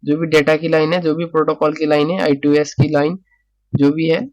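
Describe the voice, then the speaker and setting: A man with a fairly high voice teaches in a casual, explanatory way, like a technician at the bench. He repeats words, drops in English technical terms and keeps checking in, with a dry, close sound. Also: flat delivery, moderate pace, darkish tone, dry recording, highs cut off. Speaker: phone repair technician and tutor. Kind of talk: casual spoken repair tutorial.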